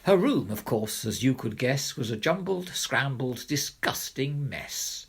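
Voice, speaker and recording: A man reading a rhyming children's story as narrator, with expressive, theatrical delivery. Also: medium pitch, measured pace, slightly rough. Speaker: narrator, reader of children's poems.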